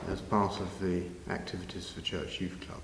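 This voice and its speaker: A man's voice: low voice, flat delivery, moderate pace. An older man reminiscing unscripted as an interviewee. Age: 50s or older. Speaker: interviewee, long-time pantomime band member. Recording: echoey hall, long reverb, faint noise.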